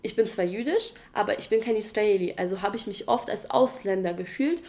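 A woman's voice: medium pitch, fairly quick, darkish tone. A young woman answering interview questions in a thoughtful, somewhat hesitant way.

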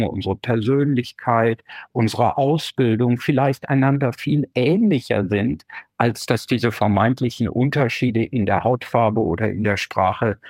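Measured academic man, dry close sound. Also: medium pitch, darkish tone, no echo. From Germany. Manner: measured, academic, lays out arguments step by step. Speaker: researcher guest. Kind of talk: explanatory interview answers.

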